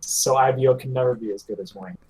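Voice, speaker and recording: A man's voice: mid-range voice, steady tone, quick pace, a little mellow, slightly rough, rough recording. A man chatting casually as a panelist on a hobby podcast.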